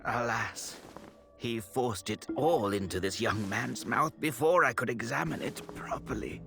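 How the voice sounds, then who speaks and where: An actor playing a grave, scholarly male healer in a video game, speaking in a solemn, measured, formal manner. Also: mid-range voice, vocal fry, over music. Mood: sorrowful, grave. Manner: solemn, measured, formal old-fashioned phrasing. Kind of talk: video game cutscene acting.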